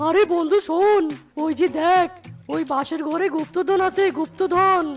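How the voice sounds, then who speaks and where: Woman playing a mute male sidekick in a cartoon; squealing, grunting, very high. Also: measured pace, soft background music. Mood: excited.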